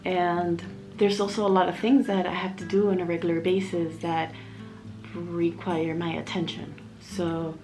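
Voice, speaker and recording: A young adult woman talks in a relaxed, reflective way, often stopping to rethink a phrase. Her voice sits at an ordinary pitch for a woman, and soft music plays quietly underneath. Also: gravelly. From Miami, USA. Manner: candid, reflective, chatty with fillers. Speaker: vlogger, single mom living in Mexico. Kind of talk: casual personal vlog.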